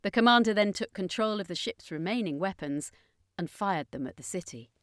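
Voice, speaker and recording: A woman's voice: mid-range voice, expressive, brisk, dry recording, clean studio sound. A woman storyteller reading scripted narration of a fantasy adventure.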